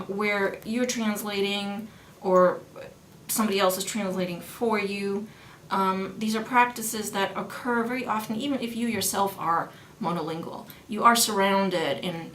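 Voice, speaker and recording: A woman's voice: mid-range voice, fairly bright tone. A middle-aged woman, a German studies professor born in New York and raised in Vienna, explaining her research thoughtfully in an interview, with many fillers and restarts. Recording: roomy sound with faint background noise.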